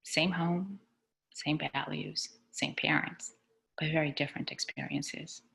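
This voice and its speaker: A woman from Chile speaks in a low, gravelly voice with a bright, forceful edge. She is a school superintendent, talking earnestly and reflectively about her own life over a video call. Her delivery is measured. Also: steady tone, rough recording. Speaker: executive superintendent. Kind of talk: personal speech at a community board meeting.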